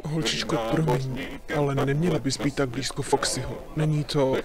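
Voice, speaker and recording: A man playing an uneasy, concerned adult who cautions politely and hesitantly, in an animated horror fan dub. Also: medium pitch, close to an everyday voice. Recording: loud music behind the voice.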